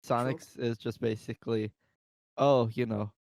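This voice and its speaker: A man's voice: medium pitch, fairly even intonation, a little slow, a little mellow. A man with a mid-range voice chats on a podcast in a blunt, casually profane way. The sound is dry, with no room echo. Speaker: podcast co-host. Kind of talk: casual movie discussion chat.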